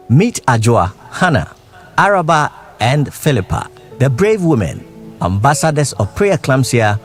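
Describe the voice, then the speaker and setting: A man giving scripted documentary narration in a measured, expressive way. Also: mid-range voice. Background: soft music.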